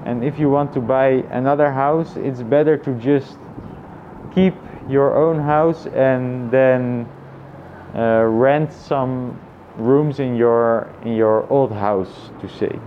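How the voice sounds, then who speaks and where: A man with an average-pitched voice talks through his thoughts in a casual vlog. His delivery is reflective and halting, often repeating phrases as he finds his way. The sound is a little dull, with faint traffic noise behind him. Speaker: vlogger.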